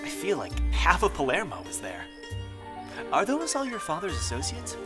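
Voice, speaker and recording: Male actor as charming young Sicilian musician, gentle teaser, animated pitch, radio drama with score behind. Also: normal speed. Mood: earnest, admiring. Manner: gentle, teasing, courting. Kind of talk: radio play dialogue. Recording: loud soundtrack music behind voice.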